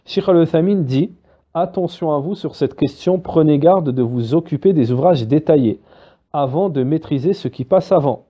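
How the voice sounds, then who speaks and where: A man teaching a spoken religious lesson, lively and full of fillers, acting out little dialogues between students. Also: fairly high pitch, moderate pace. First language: Arabic-Islamic terms mixed into French. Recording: dull lo-fi recording.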